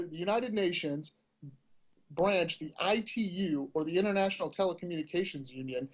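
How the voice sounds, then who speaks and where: High-pitched male co-host, opinionated and punchy, asks the audience questions. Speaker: podcast co-host. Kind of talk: casual tech news chat.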